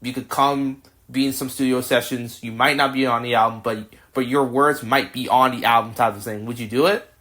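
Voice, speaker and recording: A man's voice: medium pitch, steady tone, a little fast, hardly any pauses, pushed and bright. Rambling young male podcast host. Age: 20s. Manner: rambling questions with many restarts and fillers. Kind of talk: casual interview chat.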